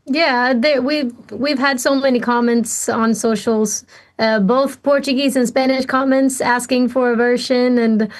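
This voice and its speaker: A woman's voice: a little high, steady tone, a little slow. A woman from Sweden with a Swedish accent, a musician talking eagerly in an interview. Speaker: musician guest.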